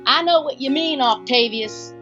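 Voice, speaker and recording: A woman plays a frank, kindly grandmother in a comic radio play. Her elderly character speaks high and bright, slightly dulled by the recording. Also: moderate pace, dull audio, faint music behind. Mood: sympathetic. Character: sweet, frank old granny. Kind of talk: audio comedy drama acting.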